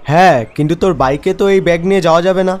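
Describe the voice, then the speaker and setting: A man playing a worn-out young software worker. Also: high-pitched, rapid-fire, close to an everyday voice. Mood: weary. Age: young adult. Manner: weary, friendly.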